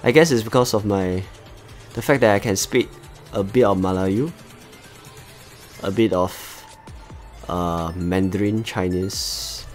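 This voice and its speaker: A man's voice: sits low, unhurried, long pauses, soft background music. A man from Singapore with a Singaporean accent, chatting casually to viewers as he hosts a live game stream. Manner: chatty, talks to viewers as he plays. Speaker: livestream host.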